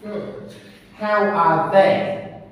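A man's voice: high voice, fairly even intonation, very slow, fairly bright tone. A man in his thirties working as an English teacher, asking simple exam questions and giving short, encouraging praise. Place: small bare classroom, indoors. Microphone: far camera microphone. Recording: echoey room, distant microphone, faint noise.

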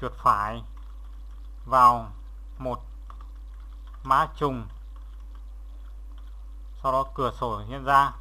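A man narrating a step-by-step software tutorial as an instructor, in a halting, instructional way, repeating steps as he goes. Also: medium pitch, steady tone.

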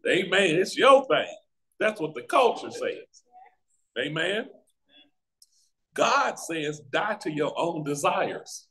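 An American man teaching a Bible study as a pastor, with deliberate, emphatic phrasing and pauses, over a video call. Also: fairly high pitch, big pitch swings, sharp tone. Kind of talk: Bible teaching with scripture reading. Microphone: video call microphone.

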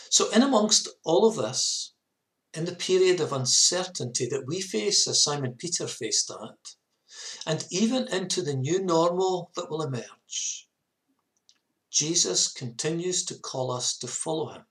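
A man in his fifties, a minister giving a measured, earnest reflective sermon to camera in a small study. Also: on the high side, fairly bright tone. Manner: measured, earnest, with short repeated phrases. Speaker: parish minister. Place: small home study, indoors.

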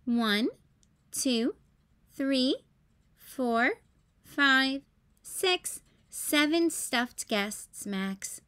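A woman's voice: high voice, very slow, long pauses, fairly bright tone, clean tone, exaggerated voice. A woman reading a storybook as a bossy, puzzled young big-sister bunny, in an emphatic, exclaiming way. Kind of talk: children's storybook read-aloud.

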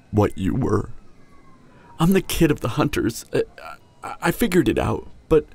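Man playing a breathy, grieving hunter boyfriend, slow. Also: medium pitch, darkish tone, full character voice. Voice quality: breathy, husky, often dropping to whispers. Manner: halting, emotional, long pauses. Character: tender, grieving monster-hunter boyfriend, young man, devoted. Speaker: audio roleplay voice actor. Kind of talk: emotional boyfriend audio roleplay, intimate monologue.

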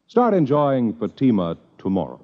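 An American man reading a 1950s radio advertisement as a commercial announcer, with a husky voice and a polished sales delivery. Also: mid-range voice, huge pitch swings, normal speed, over loud music. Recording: dull vintage radio recording.

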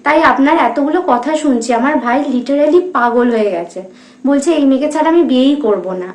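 A young woman with a high-pitched voice speaks with a West Bengal Bengali accent. She is polite but keeps pushing persuasively, as if on a staged prank call. The room adds clear echo. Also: a little fast, a little mellow, a little hiss or hum. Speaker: prank caller, vlogger. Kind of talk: staged phone prank conversation.

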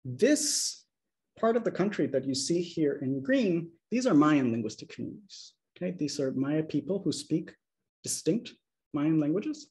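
A man's voice: fairly high pitch, animated, a little mellow. Man giving an academic slide lecture. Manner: measured, explanatory, checks in with "okay?". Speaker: linguistics lecturer. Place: small room indoors. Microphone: video call webcam.

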